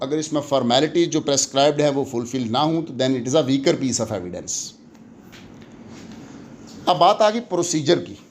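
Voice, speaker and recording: A man with a Pakistani accent lecturing in an explanatory way, deliberate and emphatic, switching between languages as he teaches. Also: a little high, darkish tone, slight background noise. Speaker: law lecturer.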